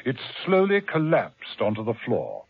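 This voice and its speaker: A man giving suspenseful, measured narration for a 1970s spy radio drama. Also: mid-range voice, wildly swooping pitch, creaky. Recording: tinny old radio recording with hiss.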